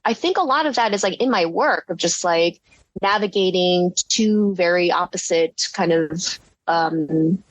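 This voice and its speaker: A woman's voice: fairly low pitch, a little slow, no room sound, poor audio. Guest ceramicist, woman in her thirties, chatty and giggly, recorded dry on a close mic. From United States. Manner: rambling, filler-heavy, giggly. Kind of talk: casual interview.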